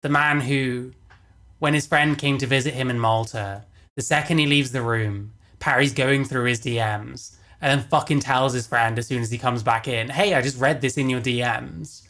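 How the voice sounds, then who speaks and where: A man's voice: mid-range voice, steady tone, moderate pace, fairly bright tone. A man giving casual, matter-of-fact livestream commentary, blunt and prone to swearing. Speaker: stream co-commentator.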